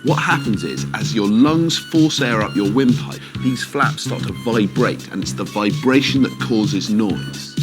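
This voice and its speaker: A man's voice: mid-range voice, animated, a little slow, hardly any pauses. Doctor guy explaining stuff on a science video, music underneath. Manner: clear explanatory. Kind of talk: educational video presenting.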